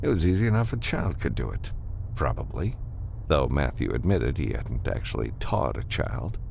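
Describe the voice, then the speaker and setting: A man's voice: rumbling low, very animated, moderate pace, vocal fry, a little mellow, slight background noise. A man reading a science fiction novel as scripted audiobook narration.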